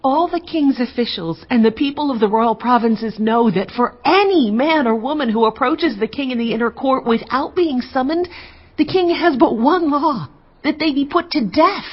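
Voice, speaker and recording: Earnest, high-voiced resolute queen. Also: normal speed, lo-fi sound. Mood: anxious. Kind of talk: dramatized scripture acting.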